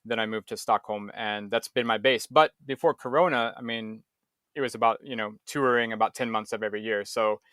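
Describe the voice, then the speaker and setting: A man from Ohio, speaking as a podcast guest, relaxed and self-deprecating, laughing often and restarting his sentences. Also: on the low side, fairly quick, clean tone, dry recording, studio quality. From Ohio, USA. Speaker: guest, juggler. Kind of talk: casual interview chat.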